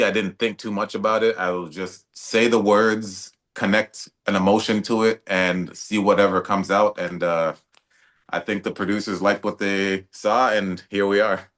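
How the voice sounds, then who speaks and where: A young man from Toronto, an actor guest talking in a relaxed, casual way with many fillers and restarts. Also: on the low side, moderate pace. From Toronto, Canada. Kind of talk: casual interview talk.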